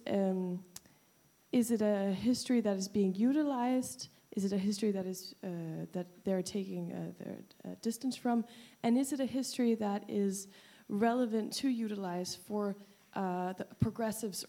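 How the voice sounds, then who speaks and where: Creaky, mellow woman asking tentatively. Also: medium pitch. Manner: tentative, pausing often. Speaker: audience questioner, director of a humanities organisation. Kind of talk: spontaneous public question.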